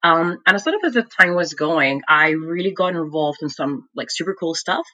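A woman with a Lithuanian accent talks through her career in a relaxed interview. Her voice is bright and clear. She pauses often and fills gaps with "um" and "like" while she thinks. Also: low voice. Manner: thoughtful, many fillers like um and like. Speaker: guest, MBA graduate and consultant. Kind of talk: conversational interview answers.

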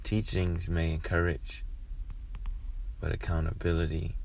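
A man with a low, flat voice gives an informal devotional talk. His delivery is reflective and halting, often pausing in the middle of a phrase, with a heavy vocal fry running through it. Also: slight background noise, rough recording.

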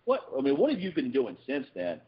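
A man co-hosting a casual podcast chat, animated and quick, often repeating words as he starts a thought. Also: mid-range voice, dull audio. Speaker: podcast co-host.

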